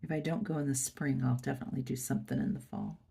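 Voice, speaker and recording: A woman host chatting softly to viewers while doing a craft project, her nose stuffy, recorded on a phone at her workplace. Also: a bass voice, wildly swooping pitch, fast talker, creaky. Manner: soft, chatty, murmuring to viewers. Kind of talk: casual chat while crafting. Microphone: phone camera.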